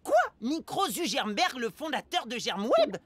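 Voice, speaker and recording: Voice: high voice, very animated, unhurried, no echo, exaggerated voice. An actor playing a cocky, jealous pre-teen amoeba boy in a dubbed cartoon, boastful and dramatic. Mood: indignant, excited. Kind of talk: animated cartoon dub acting. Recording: loud music behind the voice.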